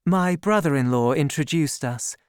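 A woman reading aloud and voicing a charming, calculating woman who speaks in a honeyed, measured way. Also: low-pitched, darkish tone, no room sound, clean studio sound, natural voice. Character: scheming charming woman, sweet-voiced and calculating.

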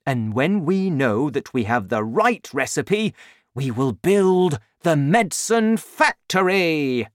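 A woman voicing an excitable, bossy middle-aged father in a children's storybook, exclaiming emphatically and insistently. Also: very deep voice, very slow, no echo, studio quality, heavily acted. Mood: excited, insistent.

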